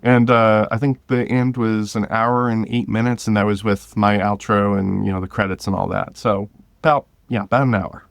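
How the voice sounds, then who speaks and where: A man's voice: on the low side, steady tone, normal speed, creaky, no echo. A man talking casually as an interview guest, thinking aloud and correcting himself as he goes. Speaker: guest, independent audio drama creator.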